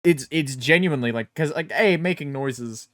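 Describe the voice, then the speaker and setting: Brash male podcast co-host, loud and smooth-voiced, hiccuping, dry close mic. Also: a little high, normal speed, no room sound. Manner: loud, brash, swears often. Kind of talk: casual chat. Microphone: home studio microphone.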